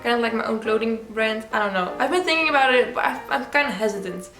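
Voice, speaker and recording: A woman's voice: fairly high pitch, fast talker, fairly bright tone, reverberant, faint music behind. A young woman from the Netherlands with a Dutch accent, chatting casually and giggling as she answers viewer questions. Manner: chatty, giggly, many "like" fillers. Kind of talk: casual Q&A vlog.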